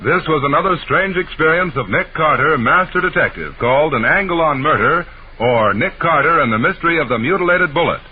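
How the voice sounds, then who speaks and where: A man's voice: a little high, lively intonation, fairly quick, music in the background. An American man announcing a radio serial in a formal, polished 1940s style. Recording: scratchy, tinny 1940s radio recording.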